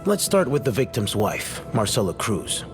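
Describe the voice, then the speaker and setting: An actor playing a contemptuous, domineering police detective who talks in a condescending, accusatory way in a video game scene. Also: male voice, on the low side, sing-song, moderate pace. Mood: cold, probing. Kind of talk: dramatic video game cutscene acting. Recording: background music behind the voice.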